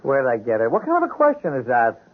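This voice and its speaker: An actor in a 1970s radio drama playing a hapless, befuddled small-time drunkard who stammers evasively in streetwise speech. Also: male voice, medium pitch, wildly swooping pitch, fast talker. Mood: evasive, nervous. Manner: stammering, hesitant, streetwise bad grammar. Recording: tinny, band-limited old radio broadcast.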